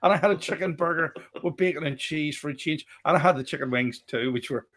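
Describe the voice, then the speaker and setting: A man's voice: a little high, quick pace, fairly bright tone. A man with a Northern Irish accent co-hosting a casual chat, rambling, repeating himself and joking as he reads out viewer comments. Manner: rambling, repeats words, jokey. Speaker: co-host.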